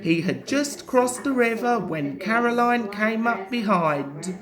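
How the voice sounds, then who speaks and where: A woman narrates a children's story with very animated intonation. She takes an unhurried pace as storyteller, with music playing clearly behind. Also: fairly high pitch.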